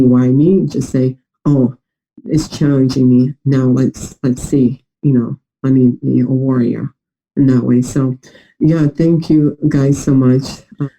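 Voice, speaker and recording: A woman from Russia with a New York-sounding American accent, a bit nasal from a recent cold, speaking earnestly with many pauses and fillers in a video-call interview. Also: very deep voice, mellow, low-quality recording. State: slightly nasal from recent illness. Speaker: interview guest, adoptee.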